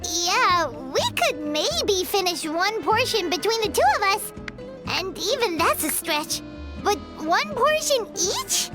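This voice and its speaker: Super high tiny game fairy, childlike n excitable, really bouncy melody to the voice. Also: female voice, slow pace, loud music behind the voice, exaggerated voice. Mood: dismayed. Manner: exclaiming, speaks of self in third person. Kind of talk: animated video game voice acting.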